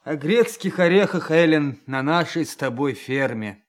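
Lightly higher-voiced, resigned young man. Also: animated, measured pace. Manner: resigned, weary. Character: resigned, frustrated young man, heroine's sweetheart. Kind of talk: Russian audio drama acting.

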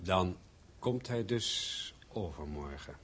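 A man's voice: sits low, expressive, slow pace, fairly bright tone, a little hiss or hum, heavily acted. An actor playing a gloomy, stubborn, resentful man in a radio play, speaking with brooding emphasis. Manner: brooding, heavy-hearted, emphatic. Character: gloomy, resentful, stubborn estranged son and father.

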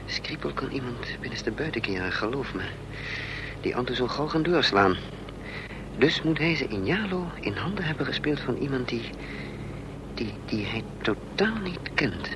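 A man's voice: medium pitch, big pitch swings, normal speed, natural voice. Guy acting nervous plotter in an old radio play, mutters and hesitates, voice jumps around, noisy recording. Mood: brooding, urgent. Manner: hesitant, muttering, thinking aloud. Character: anxious, scheming male adventurer on the run, thinking aloud. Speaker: protagonist and narrator of a radio play.

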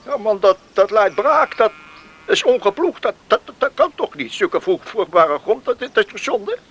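A man's voice: sits high, very animated, normal speed, a bit bright, husky, heavily acted. A man acting an eager, plain-spoken farmer in a Dutch radio play, talking emphatically and repeating words. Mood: earnest pleading. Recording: noisy radio play recording.

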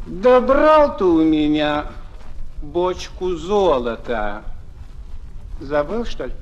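Cheeky fairy-tale muzhik, crafty and pushy, high-pitched with slow, drawn-out folksy delivery. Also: male voice, sing-song, lo-fi sound. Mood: sly, insistent. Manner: folksy, sly, insistent. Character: cheeky, wily poor peasant man petitioning the tsar. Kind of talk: theatrical children's audio tale.

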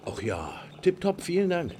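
A man's voice: high voice, wildly swooping pitch, moderate pace, mellow, a little hiss or hum. A man playing a pensive middle-aged husband in a radio drama, wry and furtive, musing in clipped fragments. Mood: polite, guarded. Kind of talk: radio drama inner monologue and dialogue.

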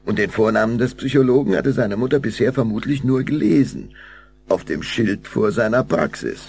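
A male narrator reads a scripted children's audio drama. His voice is very deep and gravelly, with richly varied intonation. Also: animated, moderate pace, a little mellow, slight background noise.

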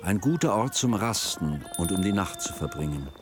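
A man narrating a radio drama in a measured, literary storytelling manner. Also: low voice, sing-song, music in the background.

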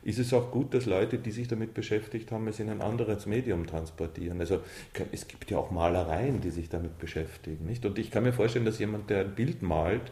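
Man, fifties, low dark voice, emphatic thinker in interview, echoing room. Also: fairly quick, few pauses. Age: 50s. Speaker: author and director being interviewed. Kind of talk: reflective interview talk. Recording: roomy, reverberant interview sound.

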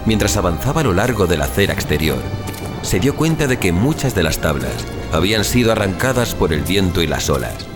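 A man's voice: deep voice, big pitch swings, a little fast. A man narrating a scripted suspense horror story as an audio fiction narrator. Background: music.

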